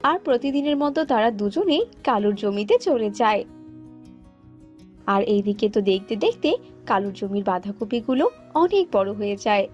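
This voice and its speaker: A woman's voice: mid-range voice, expressive, normal speed, darkish tone, muffled recording. A woman reading scripted narration for a cartoon story as its narrator. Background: music.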